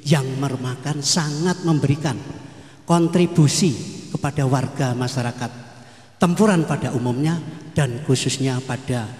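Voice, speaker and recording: A man's voice: medium pitch, moderate pace, darkish tone. A man gives a formal ceremonial speech. He repeats phrases and draws out key words to stress them. His voice comes through a PA microphone into a roomy hall with a long reverb. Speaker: village head giving a speech. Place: large echoey hall.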